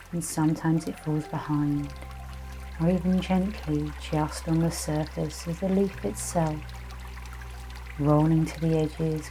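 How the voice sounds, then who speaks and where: Soothing, mellow woman guiding meditation. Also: low-pitched, rough recording. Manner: gentle, soothing, unhurried hypnotic delivery with many pauses. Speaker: meditation guide. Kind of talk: guided meditation and visualization narration. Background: soft music with trickling, dripping water.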